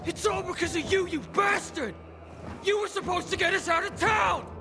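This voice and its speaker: A man's voice: very high voice, normal speed. A man acting a hot-tempered, resentful prisoner in a video game cutscene, accusing and foul-mouthed. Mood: furious. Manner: agitated, accusing, profane outbursts. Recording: music behind the voice.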